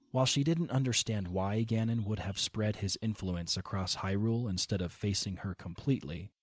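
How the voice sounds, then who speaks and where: A man narrating a fan audio drama in a calm, steady, scripted reading. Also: on the low side.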